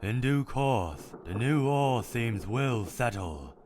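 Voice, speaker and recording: A man voicing a cold, calculating, one-eyed male robot scientist in a comic dub, speaking in a measured, clinical, detached way. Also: medium pitch, lively intonation, a little mellow, slight background noise. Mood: coldly logical. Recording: dramatic music underneath.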